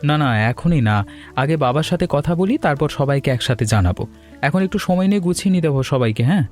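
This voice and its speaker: A man's voice: fairly high pitch, lively intonation, quick pace, darkish tone, soft background music, close to an everyday voice. A man voicing an earnest, dutiful young married son in a cartoon story. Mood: earnest, gentle.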